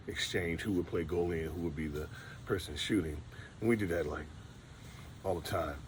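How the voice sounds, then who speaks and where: Deep-voiced middle-aged man reminiscing. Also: slight background noise. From Detroit, USA. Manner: relaxed, rambling, with fillers and restarts. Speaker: vlogger. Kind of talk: casual storytelling monologue.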